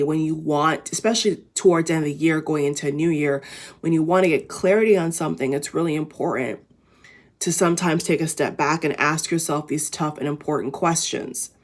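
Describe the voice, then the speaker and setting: A tired woman with a raspy voice, talking casually and at length to her viewers in a rambling inspirational vlog full of fillers and restarts. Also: low voice. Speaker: vlogger. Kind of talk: casual inspirational talk to camera.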